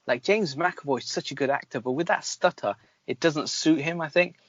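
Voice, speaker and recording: A man's voice: on the high side, moderate pace, fairly bright tone, highs cut off. A man reviewing films in casual chat as a podcast host, with an opinionated, critical manner.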